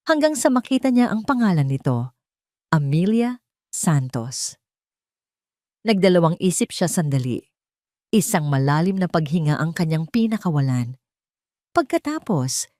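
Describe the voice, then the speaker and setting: A Filipino woman delivering dramatic scripted story narration in a measured, sentimental way with deliberate phrasing. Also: fairly low pitch, darkish tone, no echo, studio quality.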